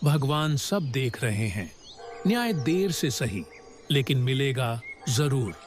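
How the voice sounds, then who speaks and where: A man's voice: medium pitch, very animated, slow pace, slight background noise. A man playing an honest, forgiving young village farmer in a cartoon moral story, speaking earnestly and righteously. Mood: solemn. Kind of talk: animated moral story acting.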